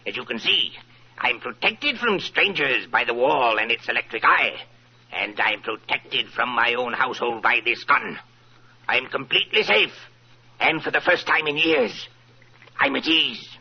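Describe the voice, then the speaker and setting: A man's voice: mid-range voice, animated, unhurried, husky. An actor playing a wealthy, paranoid, self-satisfied old businessman in 1940s radio style, grandly wordy and theatrical. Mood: self-assured, wary. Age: older. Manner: theatrical, grandly wordy, repeats himself for emphasis. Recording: muffled vintage radio recording.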